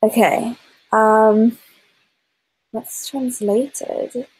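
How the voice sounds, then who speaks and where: A woman with a fairly high voice plays a quiz over a video call. She chats casually and thinks out loud, unsure and a little apologetic. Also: steady tone, extremely slow, slightly rough, low-quality recording. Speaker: quiz contestant. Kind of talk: casual chat, thinking aloud.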